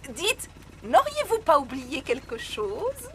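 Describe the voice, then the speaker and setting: A woman's voice: sits high, wildly swooping pitch, takes it slowly, a little hiss or hum, exaggerated voice. A woman voicing an anxious, polite lady pet owner in a children's cartoon. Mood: grateful. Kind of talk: animated children's cartoon dialogue.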